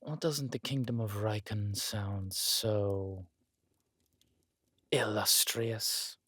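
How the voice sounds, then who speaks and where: Man playing a slow, low-voiced villain king. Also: steady tone, a bit bright, breathy edge, dry recording, studio quality, heavily acted. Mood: proud, musing. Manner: slow, measured, smugly theatrical, intimate. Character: smooth villain king, orphan-born, regal, wry and menacing. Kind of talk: scripted roleplay audio drama.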